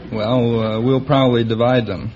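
A man's voice: medium pitch, flat delivery, normal speed. Hesitant man on old radio. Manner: hesitant, understated. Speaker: quiz contestant, doctor. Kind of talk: unscripted interview answers. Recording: vintage radio, dull, faint noise.

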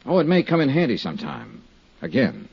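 An actor in a 1950s radio drama playing a shrewd, hard-boiled insurance investigator, husky, clipped and sardonic. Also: male voice, mid-range voice, huge pitch swings, brisk, heavily acted. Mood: casual, probing. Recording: dull 1950s radio recording.

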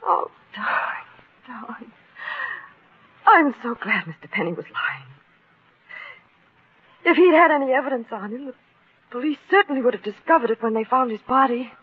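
An actress playing an anxious, trusting wife in a 1940s radio melodrama, hesitant, breathless and emotional. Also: female voice, a little high, lively intonation, moderate pace, pauses often, slightly rough. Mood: relieved, tender. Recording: tinny, narrow old radio broadcast.

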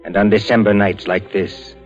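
A man narrating a 1940s radio suspense drama in a dramatic, deliberate manner. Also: on the low side, flat delivery. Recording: muffled 1940s radio broadcast recording. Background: dramatic music.

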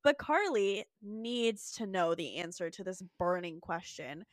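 A woman's voice: medium pitch, measured pace, no echo. A woman co-hosting a podcast, recapping an episode in casual, crude, giggly banter. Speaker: podcast co-host. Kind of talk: casual comedic recap chat.

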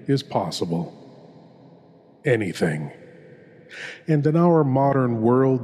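Slow, husky horror host man in deep reverb. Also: darkish tone. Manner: theatrical, ominous, savoring dramatic pauses. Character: ghoulish horror host persona. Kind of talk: theatrical scripted horror narration. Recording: long reverb, hall-like.

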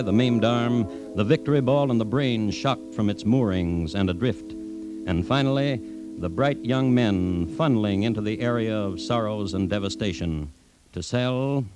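An actor playing a grave, wry crime-story host, narrating a 1950s radio drama in a deliberate, literary manner. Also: male voice, mid-range voice, close to an everyday voice.

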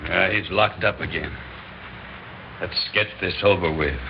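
Shotgun-toting henchman, radio play: lowish voice, blunt, menacing, muffled recording with faint noise. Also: measured pace. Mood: matter-of-fact. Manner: blunt, folksy, threatening. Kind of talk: old-time radio drama acting. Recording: dull, noisy old radio recording.

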